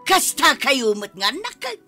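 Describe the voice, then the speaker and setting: A woman's voice: sits high, sing-song, a little slow, over music. An actress playing a proud, quarrelsome older mother in a radio drama, indignant and scolding. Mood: furious scolding. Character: proud, quarrelsome, stubborn older mother who refuses to apologize. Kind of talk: Filipino radio drama acting.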